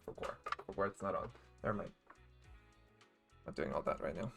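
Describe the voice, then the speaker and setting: A man's voice: low-pitched, moderate pace, gravelly, music in the background. Wry, informal male game streamer. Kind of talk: casual playthrough commentary.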